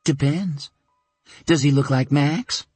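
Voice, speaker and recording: A man voicing a sharp-witted woman who teases her best friend with dry, quick banter. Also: on the high side, breathy edge, dry recording, soft background music, voice pushed up. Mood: playful.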